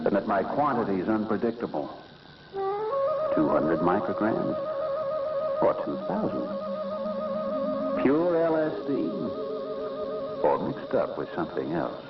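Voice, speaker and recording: An actor playing a smug, sinister, seductive LSD tablet, narrating theatrically in the style of a 1960s educational film. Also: male voice, fairly low pitch. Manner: theatrical, boastful, deliberate. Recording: dull vintage film soundtrack.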